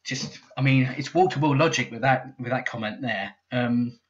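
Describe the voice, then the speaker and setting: A man's voice: mid-range voice, moderate pace, dull audio. A British man in casual commentary, answering viewer comments with dry, sardonic asides. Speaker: YouTuber reading viewer comments. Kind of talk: sarcastic comment-reading commentary.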